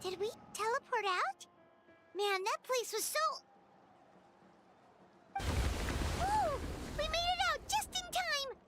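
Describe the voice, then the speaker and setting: A woman voicing a tiny, excitable, childlike fairy companion in a game, squeaky and chirpy. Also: slow pace, pauses often. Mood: puzzled, relieved. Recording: music under the voice.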